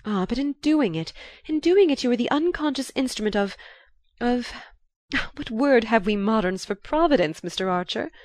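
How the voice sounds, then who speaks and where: A woman's voice: medium pitch, expressive, no echo, natural voice. A female narrator performs an older marchioness in a literary audiobook. She is effusive and theatrical, sighing and stretching her words as she confides. Her voice swoops upward with feeling, recorded close and dry. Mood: fervently grateful. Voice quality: sighing, breathy delivery. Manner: dramatic, drawn-out, confiding.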